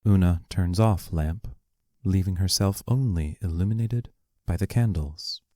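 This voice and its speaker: A man with a low voice narrates the stage directions of an audio drama. He is measured and descriptive, and the recording is close and dry. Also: dark tone, no echo. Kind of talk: scripted narration of play scene directions.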